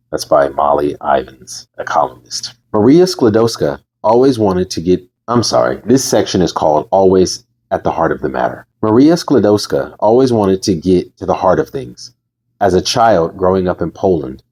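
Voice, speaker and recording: A man reading a book aloud as host, casually and emphatically, with informal chatty asides and a husky voice. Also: deep voice, darkish tone. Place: bedroom. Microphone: new microphone.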